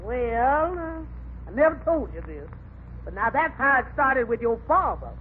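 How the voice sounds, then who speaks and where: A bossy older mother in law, very lively pitch, emphatic, tinny old radio comedy. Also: a little slow, noisy background. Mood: knowing, disapproving. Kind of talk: 1940s radio comedy acting.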